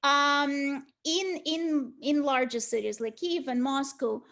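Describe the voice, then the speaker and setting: Middle-aged russian-accented lady lecturing, high voice, lots of ums and restarts. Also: slow, a bit bright. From Ukraine. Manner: hesitant, many restarts and ums. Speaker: art history lecturer. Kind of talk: online university lecture.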